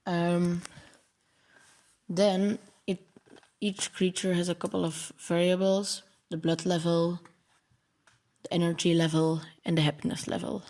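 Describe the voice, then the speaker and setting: A man's voice: high voice, little pitch movement, a little slow, long pauses, darkish tone. A young man from Belgium, a native Dutch speaker with a Belgian Dutch accent, explaining his coding project over slides and stumbling over long words. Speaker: programming channel host.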